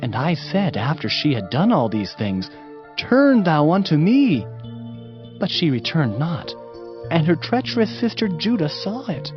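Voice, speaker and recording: A man reads scripture as a dramatic narrator, with a solemn and declamatory delivery. His medium-pitched voice rises and falls widely. The audio is a little dull, with music clearly behind it. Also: sing-song, measured pace.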